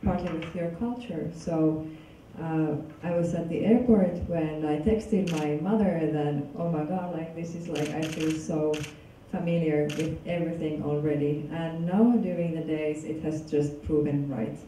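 A woman from Finland giving a public lecture in a large hall, talking in a halting, casual way with many fillers and restarts. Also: low-pitched, fairly even intonation, dark tone, slight background noise. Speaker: guest lecturer. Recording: echoey hall from far off.

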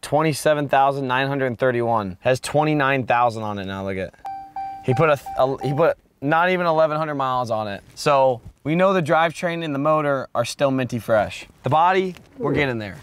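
A young man chatting casually on a car vlog, excitable and joking, filmed on a handheld camera in a truck and outdoors. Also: mid-range voice, a little hiss or hum. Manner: excitable, joking, loud laughter. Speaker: car vlogger. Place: inside a pickup truck and outdoors at a garage.

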